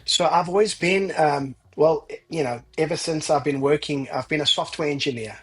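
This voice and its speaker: A man's voice: fairly high pitch, moderate pace, breathy edge. A man in his forties with a South African accent, a podcast guest telling his life story with self-deprecating humor. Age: 40s. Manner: self-deprecating, laughs at his own stories. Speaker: guest, ranch founder. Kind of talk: casual interview storytelling.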